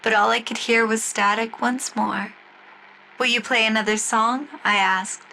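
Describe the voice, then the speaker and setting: High-voiced woman narrating a radio drama. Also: fairly even intonation, measured pace, creaky, bright, forceful tone, slight background noise, rough recording. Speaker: storyteller and singer. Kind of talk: scripted first-person narration in the style of 1940s radio drama.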